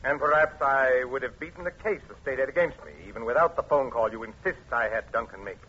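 Suave condescending villain, heavily husky, mid-pitched, defiant, old dull-sounding radio crime drama. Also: male voice, fast talker, bright, forceful tone. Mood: smug. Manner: formal, condescending. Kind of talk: 1940s radio acting. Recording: muffled old radio recording.

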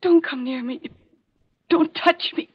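Very high-voiced anguished young woman. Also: measured pace. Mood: horrified, anguished. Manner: dramatic, imploring. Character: anguished young woman fearing her own dark nature. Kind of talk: 1940s radio melodrama acting. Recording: muffled vintage radio broadcast.

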